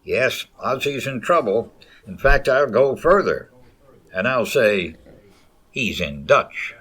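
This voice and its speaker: A man playing an earnest 1940s radio announcer and pitchman, with a husky voice. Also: lively intonation, takes it slowly, sharp tone, heavily acted. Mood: mock concern.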